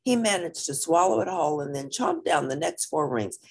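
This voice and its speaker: A woman reading a children's story aloud in an animated, dramatic, expressive narrating style. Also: sits low, moderate pace, vocal fry, breathy edge.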